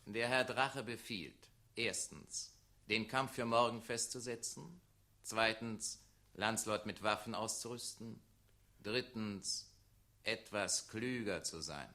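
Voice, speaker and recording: An actor playing a tyrant's dutiful young private secretary, formal and impassive, in a 1960s radio play. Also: male voice, on the low side, takes it slowly, bright, forceful tone, heavily acted. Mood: officious. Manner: formal, clipped, impassive delivery.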